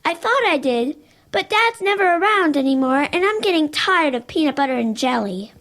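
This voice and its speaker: A timid little schoolgirl, played by a woman in an audio drama. The voice is extremely high and moves up and down in a lively way. She sounds hesitant, plaintive and worried. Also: moderate pace. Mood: hesitant, uneasy.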